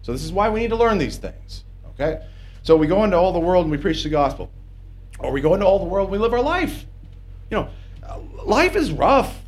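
A man's voice: high voice, sing-song, fairly quick. A man preaching a sermon from the pulpit of a small church, emphatic and conversational, often checking in with "okay?". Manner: emphatic, rising to insistent peaks, asks the congregation "okay?".

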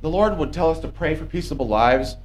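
A man's voice: fairly high pitch, animated, a little fast, slight background noise. A man preaching a sermon from the pulpit of a small church, emphatic and conversational, often checking in with "okay?". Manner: emphatic, rising to insistent peaks, asks the congregation "okay?".

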